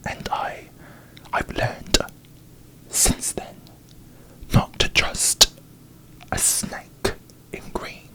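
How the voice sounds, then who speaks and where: A man voicing a haughty, bitter young fantasy queen in a breathy ASMR whisper, with pompous, formal diction, as parody. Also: pushed and bright, poor audio. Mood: disdainful.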